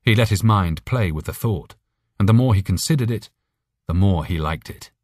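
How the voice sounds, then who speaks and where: A male audiobook narrator reads a scripted short story. His voice sits low, and the sound is dry, with very little room in it. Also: expressive, moderate pace, darkish tone, no room sound.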